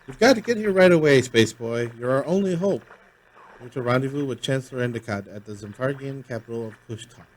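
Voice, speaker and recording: A man's voice: medium pitch, a little fast, lightly acted, low-quality recording. A man playing a grave, urgent space admiral in a radio play, briefing in a solemn military manner.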